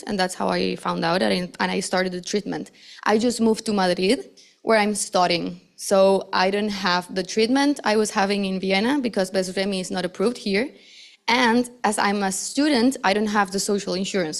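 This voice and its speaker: A young woman in her twenties from Costa Rica, sharing her own story on a conference panel in a casual, chatty way full of fillers. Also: medium pitch. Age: 20s. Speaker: patient panelist. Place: conference hall.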